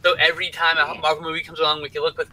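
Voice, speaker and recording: A man's voice: on the high side, completely flat delivery, very fast, pushed and bright, rough recording. A man co-hosting a casual geek chat stream, riffing loosely and swearing casually.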